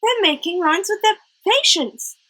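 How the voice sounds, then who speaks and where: A woman's voice: very high voice, lively intonation, unhurried, pushed and bright, pitched above the performer's own voice, heavily acted. A woman voicing an eager, naive young boy of about nine in a storybook. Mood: eager, innocent. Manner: eager, innocent. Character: small innocent nine-year-old boy, eager and naive.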